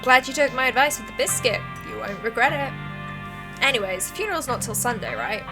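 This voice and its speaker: A woman's voice: on the high side, sing-song, normal speed, low-quality recording. A woman voicing a friendly, talkative small-town local in a visual novel, with a folksy manner. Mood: friendly, chatty. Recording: music playing behind the voice.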